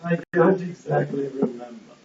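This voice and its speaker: A man acts an evasive courtier in a stage drama, hesitant and creaky in voice. He is heard from far off in an echoey room. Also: medium pitch, normal speed, mellow, a little hiss or hum, close to an everyday voice. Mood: uneasy, evasive. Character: evasive, hesitant young male court hanger-on. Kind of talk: stage-play acting in an amateur puppet show. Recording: echoey, muffled, distant lo-fi recording.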